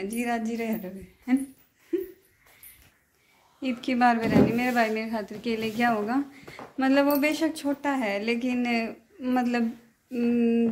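High-voiced young Haryanvi woman. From Haryana, India. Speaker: family vlogger. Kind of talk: casual vlog chat. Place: tiled room in a house, indoors. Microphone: phone at arm's length.

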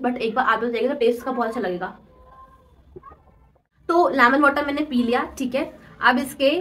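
A woman's voice: high voice, a little mellow. A young woman in her twenties talking through her daily routine in a chatty, encouraging way, filmed at home by a camera in front of her. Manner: chatty, encouraging, keeps saying "theek hai". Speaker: vlogger. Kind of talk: daily routine vlog, motivational chat. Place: small room at home, sitting on bed.

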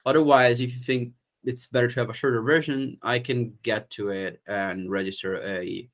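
A man's voice: medium pitch, steady tone, moderate pace. Italian man on a video call. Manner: hesitant, many fillers and restarts. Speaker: event organizer. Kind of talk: casual video call meeting. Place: room at home.

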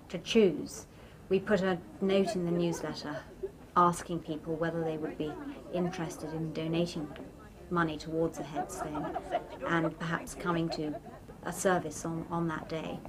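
A woman, giving an informal account as an event organiser. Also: on the low side. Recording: clear crowd chatter behind.

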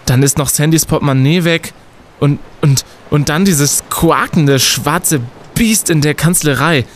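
A man's voice: a little high, measured pace, a little hiss or hum, close to an everyday voice. A man playing a bold, indignant young student detective in an audio drama, emphatic and slangy. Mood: indignant, determined. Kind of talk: German audio drama acting.

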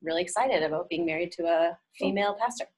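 A woman's voice: lowish voice, fast talker, a little mellow, husky. A young woman from Minnesota, a seminary student, introducing herself in a classroom in a nervous, chatty way.

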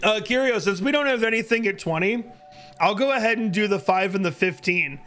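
Male streamer, early 30s, high hoarse voice, casual chat, close boom mic at home, music behind. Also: a little fast, fairly bright tone. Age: early thirties. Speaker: live streamer. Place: indoor home streaming room. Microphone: close boom-arm microphone.

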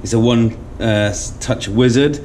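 A man in his thirties talks through a product in a practical, informal how-to style. His pitch is average for a man and his delivery is plain-spoken. He is picked up by a camera microphone indoors, with clearly audible background noise. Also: a little slow, darkish tone. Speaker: product demonstrator. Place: indoor showroom at a demonstration table.

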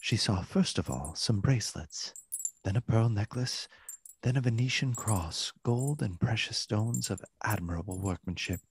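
A narrator with a husky voice, reading a literary tale as scripted narration in a radio play. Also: medium pitch, moderate pace, no room sound. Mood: measured.

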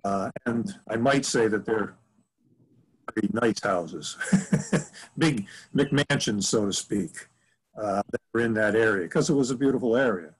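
A man in his seventies reminiscing casually on a video call from home, rambling and halting as he corrects himself. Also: lowish voice, breathy edge, rough recording. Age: 70s. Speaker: author answering audience questions. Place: room at home. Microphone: computer mic on a video call.

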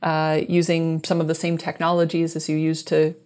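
A woman's voice: low voice, monotone, moderate pace, darkish tone. A woman in her thirties speaking as a guest scientist on a video call, explaining technical matters carefully and correcting herself as she goes. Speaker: guest scientist, exoplanet researcher. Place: small indoor room, video call. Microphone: wired earbuds headset on a video call.

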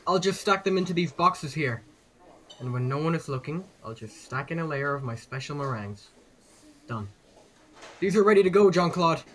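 Eager, sneaky kitchen lad, raised male pitch, children's audio drama. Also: lively intonation, normal speed, a bit bright, slight background noise. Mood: eager, furtive. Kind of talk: children's audio story acting.

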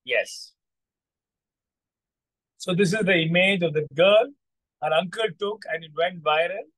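A man with an Indian accent hosting as a coach, telling motivational stories in a halting, repetitive, encouraging way. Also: sits high, a bit bright, no echo, low-quality recording. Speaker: coach and host. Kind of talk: motivational storytelling to an online group.

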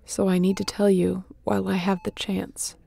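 A woman playing a battle-worn, tender and wry spaceship captain in an intimate audio roleplay, speaking softly with sighs and a breathy edge. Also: mid-range voice, flat delivery, moderate pace. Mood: hushed, loving. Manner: soft, sighing, emotionally open.

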